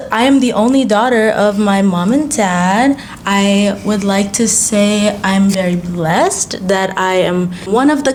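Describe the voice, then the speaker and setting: A woman's voice: medium pitch, a little hiss or hum. A woman in her twenties from the United States, co-hosting a casual podcast chat in a playful, upbeat way. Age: 20s. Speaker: co-host.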